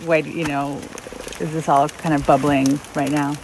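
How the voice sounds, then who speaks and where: A woman thru-hiker recording a reflective video diary outdoors, talking in a halting way with many fillers and restarts. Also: sits low, level tone, background noise. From Los Angeles, USA. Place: outdoors on a long-distance trail.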